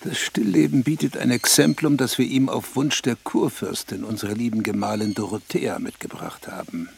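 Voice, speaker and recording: Gravelly, stately prince elector. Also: male voice, mid-range voice, fairly even intonation, darkish tone, slight background noise. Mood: regal, composed. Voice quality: very creaky, gravelly. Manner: formal, regal, measured. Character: stately male prince elector, formal and self-assured patron. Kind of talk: German radio play dialogue.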